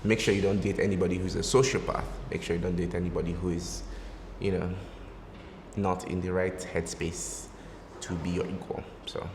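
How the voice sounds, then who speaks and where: A man's voice: sits low, flat delivery, normal speed. Wry, joking Nigerian man. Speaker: interviewee. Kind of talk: candid interview answers. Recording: roomy reverb, faint hiss.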